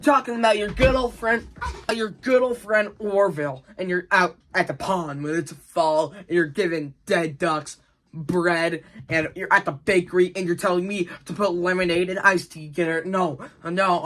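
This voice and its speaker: A man's voice: high-pitched, steady tone, normal speed, fairly bright tone. A young man hosting a gaming video with casual, exasperated, repetitive reaction chat.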